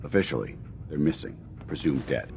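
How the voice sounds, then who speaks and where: An actor playing a grave, authoritative military commander in a video game, speaking in a formal, measured way. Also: male voice, very deep voice, expressive, gravelly, slight background noise, exaggerated voice. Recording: loud music behind the voice.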